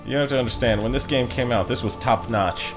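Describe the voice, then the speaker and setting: A man giving casual live commentary as a game streamer, explaining things in an offhand, halting way. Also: mid-range voice. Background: game music.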